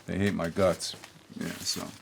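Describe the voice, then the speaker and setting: A somewhat low-voiced older man talks straight to the audience of his public-access show. He rambles bluntly, restarts often and drifts into asides. His throat sounds a little hoarse. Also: little pitch movement, a little slow, noisy background. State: slightly hoarse, with a throat tickle. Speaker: host of a local public-access political show. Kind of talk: rambling opinion monologue to camera.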